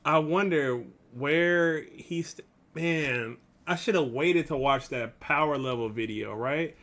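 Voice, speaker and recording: A man's voice: fairly high pitch, takes it slowly, a bit bright. A man hosting a reaction video, talking informally in slang and repeating phrases for emphasis. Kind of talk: casual reaction commentary. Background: music.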